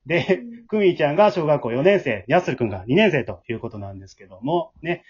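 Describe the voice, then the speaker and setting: A man's voice: a little high, expressive, fast talker, breathy edge. A man with a Kansai accent hosting as leader of an amateur drama troupe, in a casual but polite style. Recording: dull lo-fi remote home recording.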